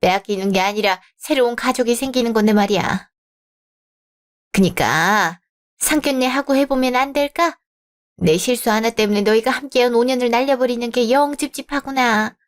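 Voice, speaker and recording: A woman voicing a pushy middle-aged mother of the groom in a radio drama, blunt and patronizing even as she apologizes. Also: a little high, normal speed, close to an everyday voice. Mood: apologetic.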